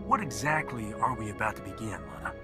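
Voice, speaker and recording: Roguish space captain, a man acting, dry and cocky, lowish voice over game soundtrack music. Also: animated, fairly quick, close to an everyday voice. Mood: wry. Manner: dry, wisecracking. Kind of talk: video game cutscene acting. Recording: clear music under the voice.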